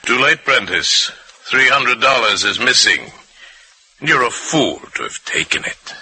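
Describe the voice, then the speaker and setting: A man's voice: medium pitch, animated, a little slow, pushed and bright, slight background noise, voice pushed up, exaggerated voice. A man playing a calculating, stern middle-aged businessman in a radio play, with an American accent and a formal, clipped, condescending way of talking.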